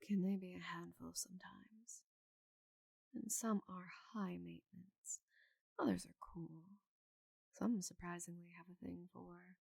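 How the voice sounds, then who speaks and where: A woman voicing a giant female orc knight in an ASMR roleplay, confident, teasing and loyal, with soft breathy asides. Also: lowish voice, no room sound, heavily acted, poor audio. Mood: wry, proud. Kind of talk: ASMR roleplay, intimate slow delivery.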